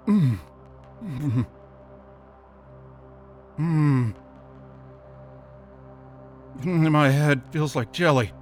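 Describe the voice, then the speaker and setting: An actor in an audio drama playing a drugged male captive under brainwashing, with a halting, dazed, suggestible delivery. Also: fairly high pitch, lively intonation, a little mellow, soft background music, heavily acted. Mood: groggy, confused.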